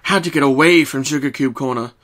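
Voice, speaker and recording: A man reading a scripted story as narrator, in a solemn, deliberate and dramatic way. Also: mid-range voice, fairly bright tone.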